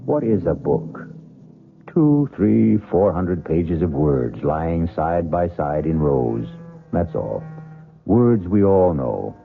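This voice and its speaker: A man hosting and narrating an old-time radio drama, deliberate and theatrical, posing rhetorical questions. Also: sits low, lively intonation, gravelly. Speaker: host and narrator. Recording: muffled old radio recording. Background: music.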